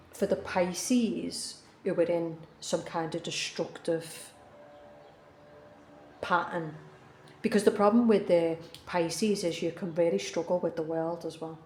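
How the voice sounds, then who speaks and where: Woman tarot reader, low, flat and mellow voice, fluey, earnest and repetitive; echoey room with faint ambience. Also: slight background noise. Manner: earnest, repeats herself for emphasis. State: coming down with the flu. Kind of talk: casual tarot reading monologue.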